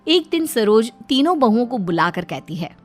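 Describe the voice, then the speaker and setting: A woman's voice: on the high side, big pitch swings, normal speed, soft background music. A woman narrating a scripted cartoon family story. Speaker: narrator and character voice.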